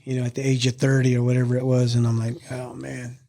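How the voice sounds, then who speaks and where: A man's voice: mid-range voice, monotone, fast talker, creaky, warm tone. A middle-aged man co-hosting a casual family podcast, joking bluntly with crude asides. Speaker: podcast co-host, father.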